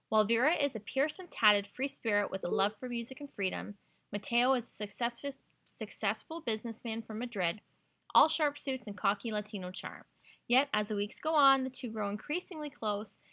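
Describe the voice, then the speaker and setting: A woman's voice: medium pitch, bright, forceful tone. A woman hosting a book podcast in an enthusiastic, gushing manner. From Canada. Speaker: podcast host. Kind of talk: book recommendation podcast, casual talk with read-out blurbs and quotes.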